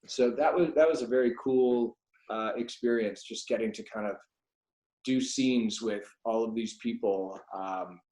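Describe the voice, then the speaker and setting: A man's voice: medium pitch, measured pace, dark tone. A middle-aged man, reminiscing casually as a voice actor being interviewed. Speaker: guest, voice actor. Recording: echoey room sound.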